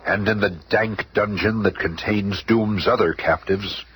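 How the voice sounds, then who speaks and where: An actor playing a dramatic narrator of a 1970s superhero radio serial. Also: male voice, fairly low pitch, fairly even intonation, a little slow, close to an everyday voice. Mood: suspenseful. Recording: very noisy old radio recording.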